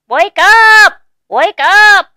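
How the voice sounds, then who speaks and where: A woman voicing a small excited female mouse in a storybook reading. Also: very high-pitched, drawn-out words, no room sound, clean studio sound, voice pushed up, heavily acted. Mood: urgent.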